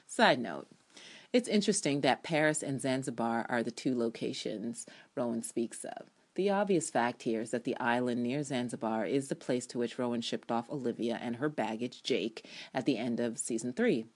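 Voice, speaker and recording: Low-voiced woman, theatrical reader. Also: normal speed. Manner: theatrical, expressive delivery with playful ad libs. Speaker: podcast reviewer and TV fan. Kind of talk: dramatic reading of a written TV review essay.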